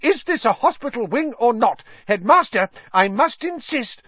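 A man's voice: big pitch swings, normal speed, breathy edge, no echo, muffled recording, exaggerated voice. A man voicing an indignant, hysterical school nurse, an insistent older matron in an audiobook story. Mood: hysterical indignation. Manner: shrill, spluttering, insistent.

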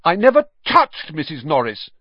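A male narrator plays a schoolboy of about twelve in an audiobook. The voice is high and earnest, with an anxious, defensive hesitancy. It is recorded dry. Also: lively intonation, normal speed, slightly rough, no room sound, muffled recording.

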